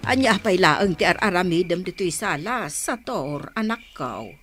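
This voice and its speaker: A woman's voice: on the low side, lively intonation, normal speed, music in the background, natural voice. A woman playing a nosy village mother and aunt in a radio drama, admonishing and questioning. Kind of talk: Ilocano radio drama acting.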